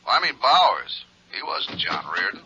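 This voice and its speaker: A man's voice: low voice, wildly swooping pitch, normal speed, bright, forceful tone, muffled recording, slight background noise, exaggerated voice. A man playing a mild, folksy American insurance company man in a 1950s radio detective drama. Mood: mildly put out.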